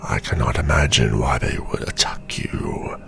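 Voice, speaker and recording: An ancient, battle-worn werewolf for an ASMR roleplay. The voice is extraordinarily low and thick with gravel, with a forceful breathy edge. Each phrase comes slowly and with menacing, sardonic weight. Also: male voice, faint music behind, heavily acted. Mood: accusing. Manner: slow, deliberate, theatrical speech. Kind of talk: ASMR fantasy roleplay acting.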